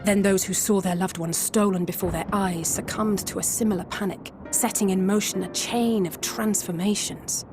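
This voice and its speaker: A woman voicing an earnest adventurer, clear and urgent as she realizes the danger. Her voice sits at a middle pitch. Orchestral music fills the background. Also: fairly even intonation, moderate pace, few pauses, close to an everyday voice. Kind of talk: video game cutscene acting.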